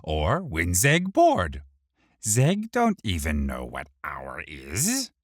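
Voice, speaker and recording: A man's voice: deep voice, huge pitch swings, takes it slowly, dry recording, clean studio sound, exaggerated voice. A man voicing a tiny, cheeky, stubborn imp in an audiobook, grumbling in broken, childlike grammar. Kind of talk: audiobook character voice.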